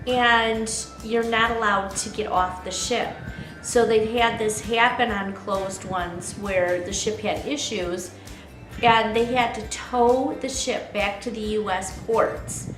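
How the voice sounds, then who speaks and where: A woman with a fairly high voice walks listeners through practical travel advice in a relaxed, explanatory way, now and then tossing a question to her co-host. She sounds like she is in a roomy, echoing space with faint music underneath. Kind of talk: casual advice chat.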